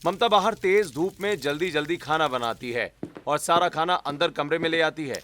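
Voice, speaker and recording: Bright, high-voiced male storyteller. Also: moderate pace, slight background noise. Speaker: narrator. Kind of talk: scripted story narration.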